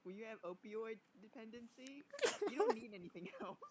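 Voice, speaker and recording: A woman's voice: fairly high pitch, lively intonation, fairly quick, a little mellow, slight background noise. A woman casually co-commentating on a game in short reactions. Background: game music.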